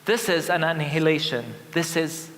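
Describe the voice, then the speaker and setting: High-voiced Palestinian pastor preaching in echoing church. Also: male voice, fairly even intonation. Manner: grave, forceful, deliberate. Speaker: Lutheran pastor. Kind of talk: sermon. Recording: echoing church with long reverb.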